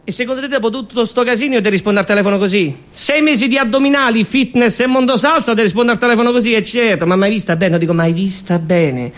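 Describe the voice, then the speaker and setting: A man's voice: sits high, fast talker, hardly any pauses, fairly bright tone. A man voicing a vain, exasperated dieting woman with a Roman accent in a frantic, sarcastic comic stage monologue.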